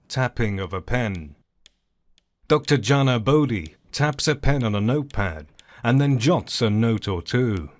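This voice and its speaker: A man narrating a scripted audio drama as its storyteller. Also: mid-range voice.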